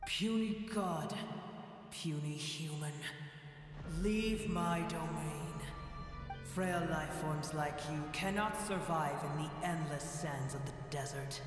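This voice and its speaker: An actor playing an ancient, gravely ill giant dragon that speaks in proud, contemptuous pronouncements for a video game. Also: medium pitch, slow pace, close to an everyday voice. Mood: scornful, menacing. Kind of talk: dramatic video game voice acting. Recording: cavernous echo, long reverb, music behind.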